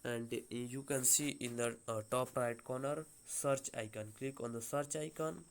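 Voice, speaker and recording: A man giving an unscripted step-by-step phone app tutorial as host, speaking in a halting, hesitant way with repeated phrasing. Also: mid-range voice, fairly even intonation, vocal fry.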